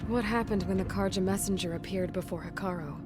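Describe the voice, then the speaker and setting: An actress playing a resolute young heroine in a video game, asking questions in a direct, serious way. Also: female voice, medium pitch, fairly quick, close to an everyday voice. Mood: firm, probing. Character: young heroine, resolute, probing, unimpressed by her enemy. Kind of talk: dramatic video game acting. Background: game soundtrack music.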